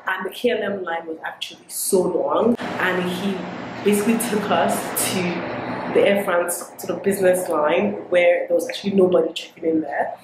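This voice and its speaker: A woman's voice: lowish voice, fairly even intonation, moderate pace, very noisy. Chatty upbeat woman vlogging. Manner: chatty, upbeat, lots of fillers like "you know". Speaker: travel vlogger. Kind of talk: casual vlog chat and voice-over review. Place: airport lounge, indoors. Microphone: camera held close at arm's length.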